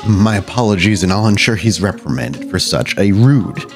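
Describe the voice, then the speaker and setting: A man voicing a composed, powerful vampire prince in a tabletop game, measured and formal with a subtle playfulness. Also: low-pitched, lively intonation, faint music behind. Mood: composed, gently playful.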